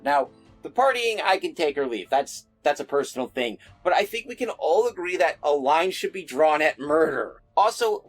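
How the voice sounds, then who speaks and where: Wry man telling folklore. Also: a little high. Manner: wry, joking asides. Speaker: folklore storyteller. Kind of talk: casual storytelling narration.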